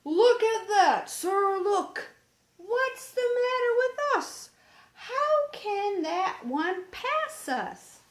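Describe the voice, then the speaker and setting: A woman voicing an excitable, eager young male sailor in a storybook reading, in a roomy echoing recording. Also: very high voice, very slow, pitched above the performer's own voice, full character voice. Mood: angry, upset.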